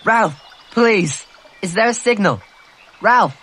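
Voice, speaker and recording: Anxious bespectacled boy, high and pleading, slow and worried. Man reads him. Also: animated, a bit bright, slight background noise, heavily acted. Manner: earnest, pleading, high-strung. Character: anxious plump schoolboy with glasses, fussy.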